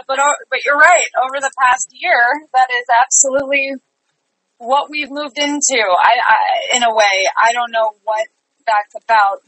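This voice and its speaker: A woman speaking conversationally as an interview guest about her own work, in an earnest, halting way with trailing pauses. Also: medium pitch, pushed and bright, no room sound, rough recording. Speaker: guest, theater company artistic director.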